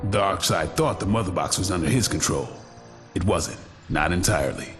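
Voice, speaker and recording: A man playing a confident, steady superhero in a video game, assured and plain-spoken. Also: sits low, moderate pace, soft background music.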